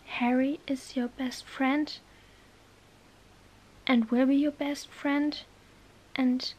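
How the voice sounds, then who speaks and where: A woman playing a bookish, exasperated young witch in an ASMR roleplay, halting and bossy, often whispering. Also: sits high, level tone, darkish tone. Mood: anxious, coaxing. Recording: faint background noise.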